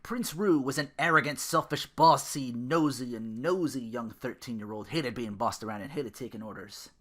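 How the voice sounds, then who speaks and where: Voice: mid-range voice, normal speed, fairly bright tone. A podcast host reading fan fiction theatrically, breaking off now and then for casual asides. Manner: theatrical, self-interrupting.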